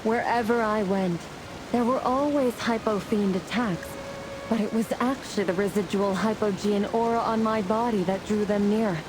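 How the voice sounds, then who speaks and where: A woman's voice: medium pitch, moderate pace, noisy background, lightly acted. A woman playing a resolute celestial fire warrior in a fantasy game, speaking in a grave, formal, declamatory way. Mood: reflective. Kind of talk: scripted fantasy game voice acting. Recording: music and rain behind the voice. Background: music, rain.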